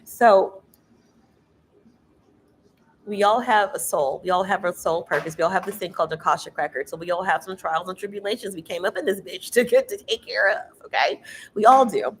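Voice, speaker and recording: A woman with a loud, carrying voice giving a casual tarot reading, emphatic and preachy, swearing freely. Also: mid-range voice, moderate pace, slight background noise. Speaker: tarot reader.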